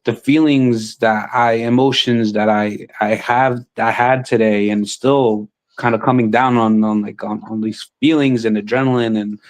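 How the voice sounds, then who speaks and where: A man who sits on a city council, talking casually and haltingly about politics, filling his speech with "you know" and restarts. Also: medium pitch, fairly even intonation. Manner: halting, many fillers and restarts. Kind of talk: casual chat.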